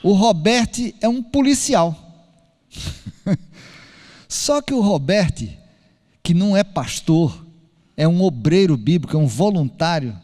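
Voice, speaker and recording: A man preaches to a congregation, with a high voice that leaps around as he tells a story and acts out each character's lines. His voice rings out with a long reverb from a large church hall. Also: measured pace, long pauses. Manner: animated storyteller acting out dialogue. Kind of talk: sermon storytelling.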